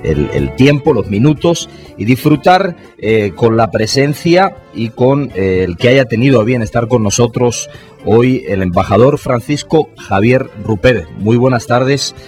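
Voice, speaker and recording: A man hosting a live radio talk show, greeting listeners formally and pausing often with "eh". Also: medium pitch, animated, faint music behind. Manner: warm formal greetings with frequent "eh" fillers. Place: radio studio.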